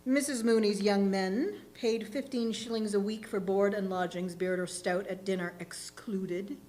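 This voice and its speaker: A woman reading a literary short story aloud in a deliberate, expressive narrating style. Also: mid-range voice. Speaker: reader in a readers' theatre. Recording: faint background noise and hum.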